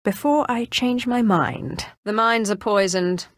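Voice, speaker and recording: A woman's voice: medium pitch, a little slow, dry recording, studio quality, lightly acted. Woman acting a haughty, menacing Sith sorceress. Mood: menacing, scornful. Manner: imperious, taunting, clipped lines. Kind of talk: video game character dialogue.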